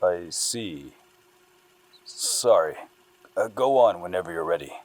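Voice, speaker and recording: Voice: sits low, expressive, slow, darkish tone, heavily acted. An actor playing a stern, official police interviewer taking a witness statement in an audio drama. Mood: calm, procedural. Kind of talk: dramatic audio fiction acting.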